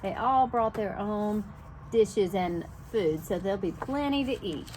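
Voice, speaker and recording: A woman with a mid-pitched voice reads a picture book aloud for preschoolers. She is animated and speaks directly to the children, explaining and asking as she goes. There is noticeable ambient noise behind her. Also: a little slow, poor audio. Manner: animated, explains and questions young listeners directly. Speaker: preschool teacher reading aloud.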